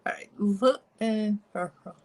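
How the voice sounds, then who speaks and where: A woman's voice: mid-range voice, measured pace, darkish tone. A woman with a Russian accent chatting casually and giggling as she does her makeup live for viewers.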